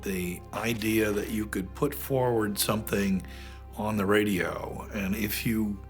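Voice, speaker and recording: A man with a lowish voice comments in an unscripted documentary interview, working out his thoughts in halting phrases. Music is prominent behind him. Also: steady tone.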